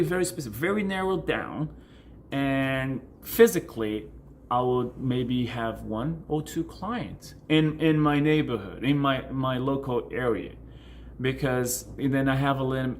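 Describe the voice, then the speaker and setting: Male online coach, native Portuguese speaker, mid-pitched. Informal explainer, repeats for emphasis. Noisy ambient recording. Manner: repeats and rephrases words for emphasis. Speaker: online business coach, video host. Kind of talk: informal explanatory talk to camera answering questions.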